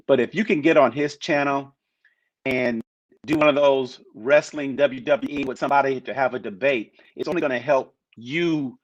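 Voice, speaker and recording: A man's voice: medium pitch, moderate pace, dry recording. A man on a talk panel, speaking casually and rambling with many restarts. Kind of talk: casual chat. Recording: muffled lo-fi sound.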